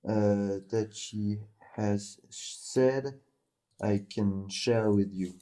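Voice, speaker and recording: Halting man with a French accent. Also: on the low side, steady tone, warm tone. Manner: halting, many fillers and restarts. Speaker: podcast co-host. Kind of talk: casual chat on a call.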